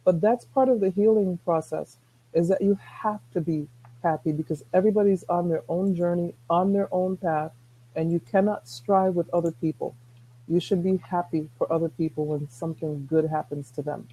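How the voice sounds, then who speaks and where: A middle-aged woman with a soft-spoken voice, talking earnestly as a guest on a video call, rambling with restarts. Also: lowish voice, steady tone, normal speed, husky, low-quality recording. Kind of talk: casual chat.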